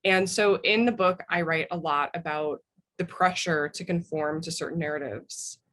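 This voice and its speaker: A woman's voice: low voice, moderate pace, a bit bright. An American woman speaking as an interview guest, telling personal stories in a thoughtful way. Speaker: interview guest, memoir author.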